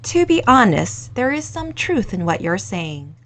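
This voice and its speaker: A woman's voice: medium pitch, big pitch swings, measured pace. A woman reading the part of a lonely, reflective older bachelor who speaks politely and thoughtfully. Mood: reminiscent. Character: reflective older bachelor man, gentle and wistful.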